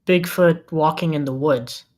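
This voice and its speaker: A man's voice: on the high side, unhurried, close to an everyday voice. A man playing an exasperated, practical amateur cameraman in a comedic table read. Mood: deadpan.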